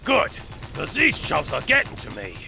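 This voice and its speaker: A man voicing a bloodthirsty, boastful gang thug with a strained, gravelly voice in a comic dub. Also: on the high side, wildly swooping pitch, normal speed, bright, forceful tone, faint music behind, exaggerated voice. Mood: menacing. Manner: menacing, boastful. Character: vicious, bloodthirsty male crime gang thug.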